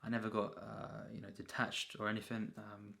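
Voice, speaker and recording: A man giving an unscripted, rambling film review as a reviewer, in a halting, repetitive way full of filler phrases. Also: lowish voice, monotone, creaky, pushed and bright.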